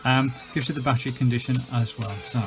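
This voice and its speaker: Gravelly British man demonstrating gadgets. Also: mid-range voice, steady tone, fast, over music. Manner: chatty, informal, hesitant while demonstrating. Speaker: gadget reviewer and video host. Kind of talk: casual unscripted product demonstration.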